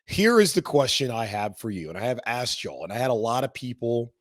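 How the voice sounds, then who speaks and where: A hoarse man hosting a sports podcast, talking in a casual, rambling way. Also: medium pitch, big pitch swings, fairly quick, no echo, studio quality. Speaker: podcast host.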